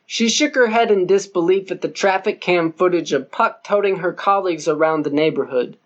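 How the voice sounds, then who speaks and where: A man narrating a novel audiobook in a dry, wry storytelling manner. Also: sits high.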